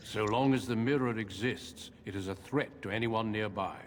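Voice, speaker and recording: Male actor as seasoned warrior mentor, video game, deep-leaning voice, courteous, measured, grave, long reverb, noisy ambience. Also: natural voice. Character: calm, grave veteran human warrior mentor. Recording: echoey cave reverb, noisy ambience behind.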